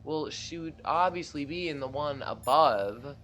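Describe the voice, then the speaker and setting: Casual male game commentator, fairly high-pitched, conversational, with faint background noise. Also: a little slow, a bit bright. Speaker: let's play host.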